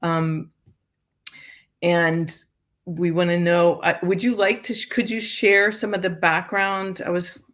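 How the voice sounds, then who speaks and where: A woman's voice: fairly low pitch, level tone, slow pace, a bit bright. An older woman hosting an interview show, talking casually with many fillers and restarts. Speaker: host.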